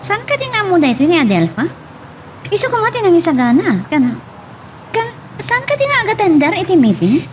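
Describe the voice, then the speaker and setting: A woman's voice: very high voice, huge pitch swings, fairly quick, slight background noise, heavily acted, poor audio. A woman playing a chatty young woman in an Ilocano love-story radio drama. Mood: chatty, inquiring.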